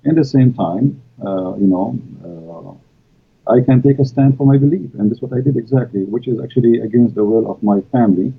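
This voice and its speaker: Arabic-native man with a raspy voice, halting and full of fillers, heard over a thin call line. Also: mid-range voice. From Middle East. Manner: halting, many fillers, non-native grammar. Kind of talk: casual live-stream conversation. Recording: tinny call audio.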